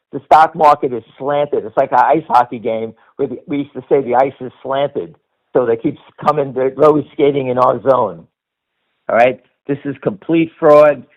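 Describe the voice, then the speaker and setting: A middle-aged American man hosting a stock-trading conference call with off-the-cuff commentary, blunt and emphatic, often repeating himself. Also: mid-range voice. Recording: dull lo-fi conference-call audio.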